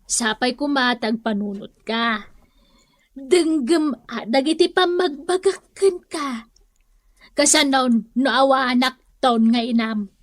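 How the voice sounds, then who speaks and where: A woman's voice: sits high, expressive, slow pace, dry recording, studio quality. A woman acting a long-suffering mother and wife in a comedy radio drama, scolding and pleading with a Filipino accent. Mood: earnest advising.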